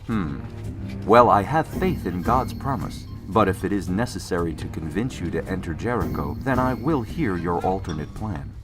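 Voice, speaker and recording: An actor playing a solemn, commanding ancient war leader of great faith in an audio drama, speaking in formal, stately phrases. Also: male voice, low-pitched, lively intonation, moderate pace, over music.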